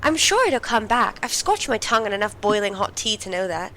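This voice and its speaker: A woman's voice: mid-range voice, sing-song, fairly quick, pushed and bright, a little hiss or hum. A woman voicing a mischievous, irreverent young aristocrat with a morbid streak in an audio drama, teasing, sarcastic and theatrical.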